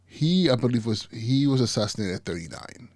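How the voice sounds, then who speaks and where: A man's voice: medium pitch, lively intonation, fairly quick, a little mellow, no echo, studio quality. A man in his forties hosting a podcast, talking casually and stuttering, with frequent repeats and restarts. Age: 40s. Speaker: podcast host, school principal.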